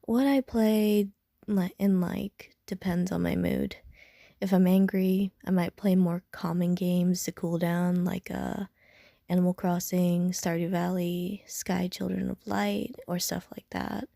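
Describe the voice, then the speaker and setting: Slow-talking, soft-toned young gamer woman, played by a voice actress, self-deprecating, slightly prickly, hesitant, for intimate audio roleplay. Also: lowish voice, little pitch movement, vocal fry, dry recording. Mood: relaxed, chatty. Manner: hesitant, slangy, dry, self-mocking. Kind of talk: intimate audio roleplay, casual chat to the listener.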